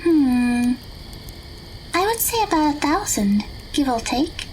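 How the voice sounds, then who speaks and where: A woman playing a gentle, polite teenage magical girl in an audio drama, speaking matter-of-factly. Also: high voice, animated, slow, a little hiss or hum, rough recording. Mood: explaining.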